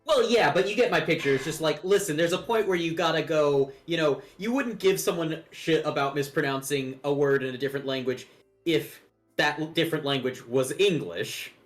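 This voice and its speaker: A man's voice: on the high side, a little fast, soft background music. A man chatting casually on a group livestream in an emphatic, opinionated way. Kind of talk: casual chat.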